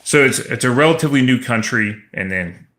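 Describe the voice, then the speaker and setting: A man with a bright, forward voice that swings widely in pitch. He chats casually as a podcast co-host, hesitating and repeating words as he works out what he means. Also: expressive, fairly quick. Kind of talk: casual chat.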